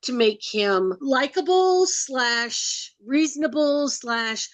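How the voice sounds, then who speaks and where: A woman co-hosting a casual podcast, giving measured opinions and weighing what she liked and didn't. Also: sits high, lively intonation, no echo. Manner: gives measured opinions, weighing her views. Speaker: podcast co-host.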